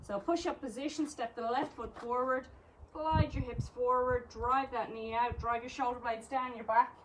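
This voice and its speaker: A woman's voice: a little high, steady tone, normal speed, noisy background. A woman with an Irish accent teaching a live exercise class, cueing each movement and counting reps with encouragement. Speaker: fitness instructor.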